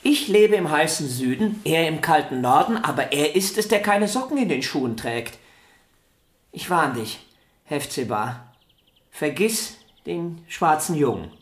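An actor playing a proud, earnest, excitable male musician in a radio drama, emphatic and insistent. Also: on the high side, measured pace, reverberant. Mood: indignant, admiring.

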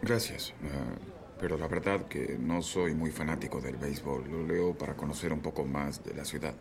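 A man dubbing a calm, measured new newspaper editor in a Spanish film dub. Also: extremely low pitch, vocal fry, a crowd in the background.

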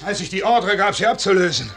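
An actor playing a tense, blunt officer reporting bad news, clipped and emphatic, in a 1950s German radio play. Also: male voice, high-pitched, expressive, quick pace, vocal fry, a bit bright, faint music behind, heavily acted. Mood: urgent, indignant. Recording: 1950s radio drama recording.

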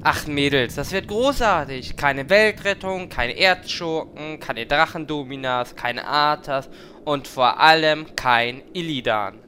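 Man playing a slow, eager fantasy adventurer. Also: a little high, sharp tone, a little hiss or hum. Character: eager, flattering male fantasy game adventurer. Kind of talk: audio comedy parody acting.

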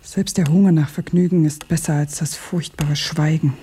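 A woman acting a composed, grave revolutionary in a radio play, hushed and measured, with a breathy, whispery voice. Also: low-pitched, fairly even intonation, slight background noise, lightly acted. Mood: calm, grave.

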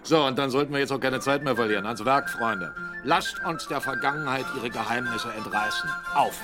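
A male actor as a blunt, eager expedition boss in a radio play. He speaks in exclamations at a middling pitch, with music clearly playing behind. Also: a little fast, natural voice. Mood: rousing, commanding. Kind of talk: German radio play acting.

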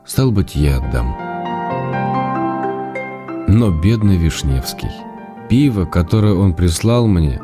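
A man's voice: low-pitched, mellow. An actor playing an affectionate, wry writer reading his intimate letters to his wife in a radio drama. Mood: gentle, matter-of-fact, then wry grumbling.

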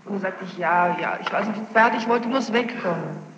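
A woman's voice: mid-range voice, a little fast. A young woman giving courtroom testimony as a witness, defensive and insistent, often repeating herself. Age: young adult. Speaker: witness under questioning. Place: large reverberant courtroom. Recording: muffled, noisy archival courtroom tape, echoing hall.

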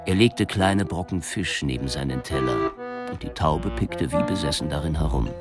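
A man's voice: rumbling low, lively intonation, normal speed, over loud music. A man narrating a crime story as the narrator of a radio drama.

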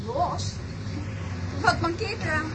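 An actress in a radio play playing a flustered, exasperated young wife of a penniless student, sceptical and stammering when thrown. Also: female voice, very high-pitched, lively intonation, slow, noisy background, heavily acted. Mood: flustered, hesitant.

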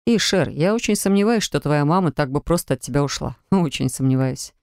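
A woman voicing a calm young male healer student, speaking low, soft and soothing in an audiobook. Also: fairly quick, no room sound, studio quality. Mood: quiet soothing. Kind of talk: audiobook character voice.

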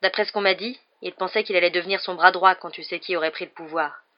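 A woman's voice: lowish voice, steady tone, fast, lo-fi sound, natural voice. A woman voicing a cocky, gossipy young male bus conductor from a storybook, smug and dramatic, tossing in tag questions. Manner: chatty, ends remarks with tag questions.

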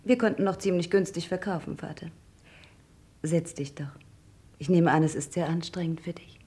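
An actress playing a practical grown daughter in a vintage radio crime play, dry and unruffled. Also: female voice, on the low side, moderate pace, dark tone, close to an everyday voice. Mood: dry, cool. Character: cool, practical grown daughter managing family affairs. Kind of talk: vintage German radio crime drama acting.

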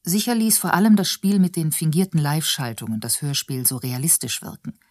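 A woman's voice: low voice, fairly quick, a little mellow, no echo, clean studio sound. A woman reading scripted narration for a radio documentary.

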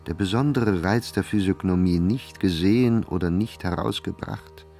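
Ironic literary narrator, low pitch, measured, dry close sound, faint music, radio drama. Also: male voice, creaky, warm tone. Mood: dryly ironic.

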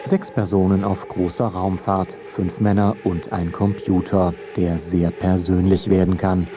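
A man narrating a scripted radio feature in a measured, wry manner. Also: low-pitched, flat delivery, background noise. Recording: studio narration over music bed.

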